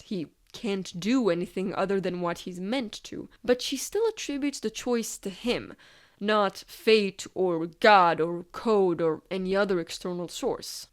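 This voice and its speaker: A woman narrates an analytical video essay in a mid-pitched voice. She explains ideas in a scripted, deliberate way, posing rhetorical questions and pausing for weight.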